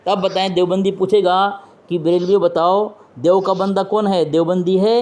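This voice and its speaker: A man's voice: high voice, little pitch movement, normal speed, a little mellow. A man interviewing and debating religion on a phone call, pressing his questions insistently and quoting from books. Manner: quotes from books and scripture.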